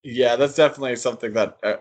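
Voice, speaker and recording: Medium-pitched man, analytical podcast co-host. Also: steady tone, rapid-fire. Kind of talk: casual discussion and commentary.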